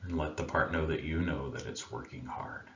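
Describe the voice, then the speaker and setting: A man leading a guided meditation as a coach, speaking in a gentle, soothing manner with long unhurried pauses. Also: rumbling low. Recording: roomy, dull sound with faint background noise.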